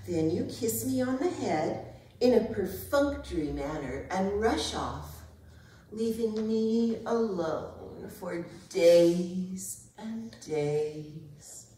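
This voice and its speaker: A woman's voice: medium pitch, animated, extremely slow, warm tone. A woman playing a stubborn, indignant pet cat in a staged reading, speaking in a pompous, formal way with precise diction. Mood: haughty. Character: haughty, stubborn, indignant female pet cat named Callie. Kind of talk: theatrical staged dramatic reading. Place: arts centre performance space. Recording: echoey hall, distant microphone.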